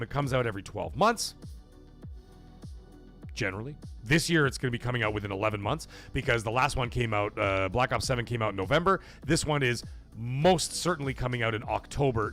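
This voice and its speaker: A man's voice: medium pitch, a bit bright. A man hosting a gaming livestream, talking casually and emphatically to his chat, often checking in with his listeners as he argues his point. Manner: emphatic, persuasive, keeps checking in with "okay?" and "right?".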